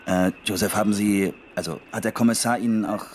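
An actor playing a hurried, polite forensic scientist and boss in a naturalistic radio play, businesslike and probing with hesitant pauses. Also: male voice, fairly low pitch, fairly even intonation, darkish tone, slight background noise, natural voice.

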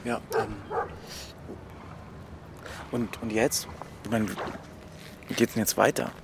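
A man's voice: on the low side, level tone, slow, vocal fry, noisy background. An actor playing a sympathetic, easily startled young man in a radio drama, with hesitant, stammering delivery. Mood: uneasy. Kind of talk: German radio drama acting.

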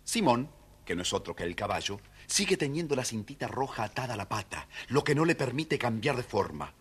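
A man narrating a scripted children's story in a dramatic, expressive way. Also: mid-range voice, brisk, husky.

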